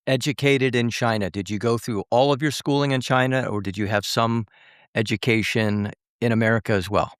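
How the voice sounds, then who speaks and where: A man hosting a podcast interview, speaking courteously in halting phrases with pauses. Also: mid-range voice, steady tone, clear, resonant tone, no echo, clean studio sound. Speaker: podcast host.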